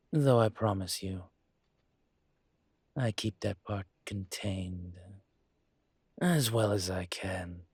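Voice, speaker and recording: A man playing a courtly, possessive dragon mafia boss in an intimate audio roleplay, speaking in a suave, teasing, formal way with a husky voice. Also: deep voice, no echo, studio quality, heavily acted. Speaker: voice actor.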